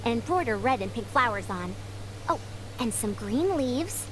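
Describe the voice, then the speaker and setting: A woman's voice: on the high side, expressive, moderate pace, a little hiss or hum, natural voice, rough recording. A woman playing a small, proud, old-fashioned female scholar with a self-assured, matronly way of talking in an anime game.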